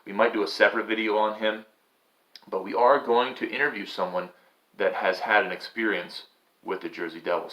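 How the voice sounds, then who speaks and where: A man's voice: lowish voice, bright, forceful tone, rough recording. A man hosting and reading a storybook, moving between casual chat and a theatrical, suspenseful delivery. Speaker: host and storyteller.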